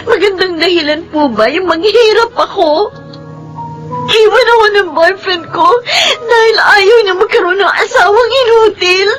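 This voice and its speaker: A woman's voice: extremely high pitch, moderate pace, music in the background. A woman playing an emotional, despairing young daughter in a Filipino radio drama. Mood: anguished, tearful. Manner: emotional, melodramatic delivery. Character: despairing young daughter who lost both legs.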